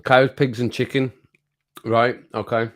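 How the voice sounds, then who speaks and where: A man hosting a casual livestream, his voice a bit croaky from singing, chatting to viewers at home and laughing often. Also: mid-range voice, level tone, unhurried. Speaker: livestream host reading chat. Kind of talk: casual chat to a live audience. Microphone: home streaming mic with compressor.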